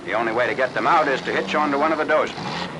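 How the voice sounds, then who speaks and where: A noisy old newsreel soundtrack carries an American man's voice at a medium pitch. He narrates in scripted 1940s style, formal and clipped like a military announcer. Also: quick pace.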